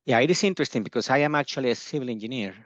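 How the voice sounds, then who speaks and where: A middle-aged man originally from Colombia, an education agency founder talking in an interview, pausing often with fillers and restarting his sentences. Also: mid-range voice, lively intonation, no echo, dull audio. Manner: hesitant, many fillers and restarts. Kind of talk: casual video-call interview.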